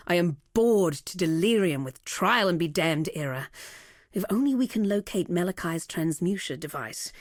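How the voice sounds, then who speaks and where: An imperious villainess and cold-blooded researcher for a video game. Her voice sits on the low side, with a deliberate, commanding haughtiness. The recording is dry, free of room sound. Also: dry recording. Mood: clinical, impatient. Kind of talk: scripted video game voice acting.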